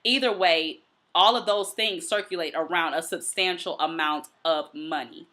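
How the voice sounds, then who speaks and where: Bright-voiced American woman, fairly low pitch. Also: moderate pace. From Detroit, United States. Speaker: host of a commentary and book review show. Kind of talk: reflective solo commentary.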